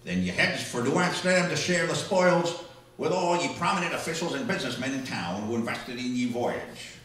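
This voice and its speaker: A man's voice: medium pitch, lively intonation, a little fast, fairly bright tone, lightly acted. A man playing a boastful, drunken pirate captain in a comic stage skit, growling in hearty pirate talk. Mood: boastful, jokey. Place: small library room before a live audience. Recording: echoey room, far from the mic.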